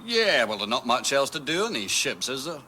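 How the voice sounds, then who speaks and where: A man's voice: mid-range voice, sing-song, fairly quick, pushed and bright, slight background noise. A man playing a grumbling, talkative British freighter captain in a radio comedy, rambling and full of rhetorical questions. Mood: bored griping. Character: grumbling chatty working-class spaceship freighter captain. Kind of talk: radio comedy drama acting.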